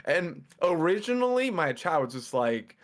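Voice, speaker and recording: A man's voice: fairly high pitch, normal speed. A young man whose first language is Mandarin, a podcast guest chatting casually and rambling with lots of fillers. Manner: rambling, excitable, frequent fillers and laughs. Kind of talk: casual chat.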